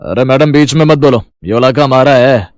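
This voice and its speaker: A man acts a bullying extortionist thug in a cartoon moral tale. He threatens and orders people about in a medium-pitched voice, recorded dry. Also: normal speed, dry recording, lightly acted. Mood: menacing. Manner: threatening, domineering. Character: menacing village thug extorting money.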